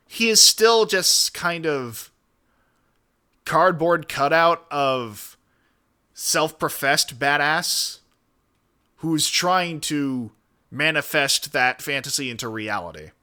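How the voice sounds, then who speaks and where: A man streams casual, opinionated commentary in a bright, somewhat high voice. He is emphatic and digressive, leaning hard on his points as he rambles. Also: lively intonation, takes it slowly, pauses often. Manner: emphatic, digressive, frequent swearing. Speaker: streamer reacting to a video.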